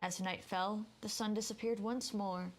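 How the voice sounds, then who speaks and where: A woman's voice: mid-range voice, fairly even intonation, moderate pace. A woman game streamer, switching between casual commentary and reading the game's text aloud.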